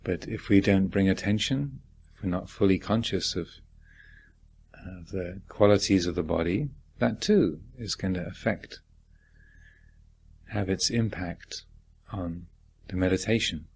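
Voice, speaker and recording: A man teaching guided meditation, speaking in a deliberate, reflective manner with gentle questions and long pauses. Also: deep voice, vocal fry, slight background noise.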